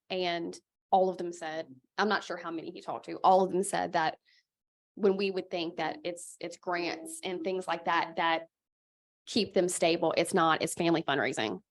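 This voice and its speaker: A woman's voice: fairly low pitch, vocal fry, fairly bright tone. A woman talking unscripted on a panel, explaining as an organizer.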